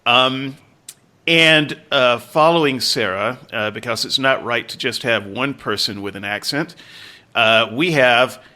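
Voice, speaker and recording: A man's voice: mid-range voice, unhurried, bright, forceful tone. A man hosting a podcast panel, introducing guests with loose jokes and many fillers. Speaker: podcast host and moderator. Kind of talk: casual panel chat.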